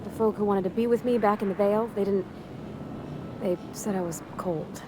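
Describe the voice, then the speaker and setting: An actress playing a sweet, shy young ship mechanic in a video game, speaking with a rural Southern American accent in a folksy, hesitant, earnest way. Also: female voice, mid-range voice, moderate pace, noisy background, lightly acted. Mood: wistful, candid. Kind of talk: video game character acting.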